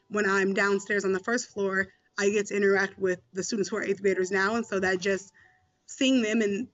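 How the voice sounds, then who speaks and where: A man's voice: sits high, fairly bright tone, highs cut off. A man working as a school mentor, answering interview questions in a conversational way with many fillers and restarts.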